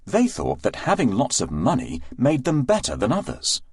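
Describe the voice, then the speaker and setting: A man narrating a scripted fairy tale for children with an expressive, deliberate storytelling delivery. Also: medium pitch, slightly rough, no room sound, studio quality.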